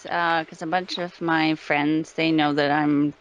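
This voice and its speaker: A woman's voice: sits low, level tone, unhurried, clean tone, dull audio. A woman speaking as an interview guest, chatting casually in an informal, modest way.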